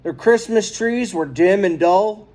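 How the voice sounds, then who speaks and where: A man reading a children's story aloud in an expressive, dramatic storytelling manner. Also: high voice, unhurried. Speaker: storybook reader.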